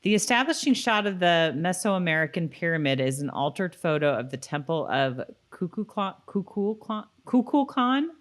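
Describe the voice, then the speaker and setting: A woman's voice: on the low side, big pitch swings, moderate pace, hardly any pauses. A woman co-hosting a podcast, talking casually and sometimes reading trivia aloud. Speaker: podcast co-host.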